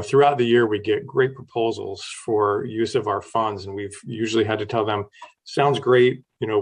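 A man giving a staff presentation at a public board meeting, steady and full of "um" fillers. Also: fairly low pitch.

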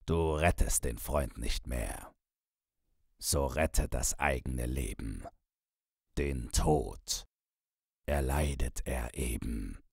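A man voicing an honest, horrified house steward who gravely warns his master in measured verse from a classic ballad. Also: extremely low pitch, darkish tone, no room sound, clean studio sound, pitched below the performer's own voice, exaggerated voice. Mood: grave warning.

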